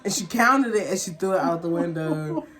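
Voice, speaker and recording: A woman vlogger telling a personal story in a casual, animated, slangy chat, acting out what people said. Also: on the low side, moderate pace, crowd noise. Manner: animated, slangy, candid storytelling with acted-out quotes.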